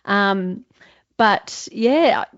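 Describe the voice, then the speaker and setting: A woman's voice: mid-range voice, lively intonation, very slow, dry recording, highs cut off. An Australian woman hosting an interview, encouraging her guest and laughing often. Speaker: podcast host. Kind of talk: casual interview chat.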